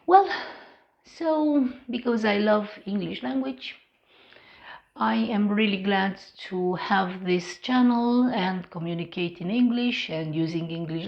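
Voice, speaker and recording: Woman host, Romanian accent, slow and hesitant, slight vocal fry, dull lo-fi recording. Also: mid-range voice. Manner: hesitant, many fillers and restarts. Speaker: English-learning channel host. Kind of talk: unscripted personal vlog talk.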